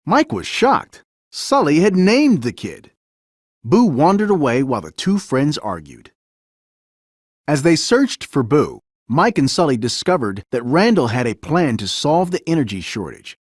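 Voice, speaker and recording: A man reading a children's story aloud as scripted narration, with an expressive, dramatic storytelling delivery. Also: a little high, a little slow, breathy edge, no room sound, clean studio sound. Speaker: storybook reader.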